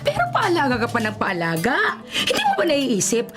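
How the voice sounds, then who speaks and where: A middle-aged radio drama mother, fond and given to scolding. Her voice is fairly high and very animated in pitch. Music is clearly audible behind her. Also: moderate pace. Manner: nagging, expressive.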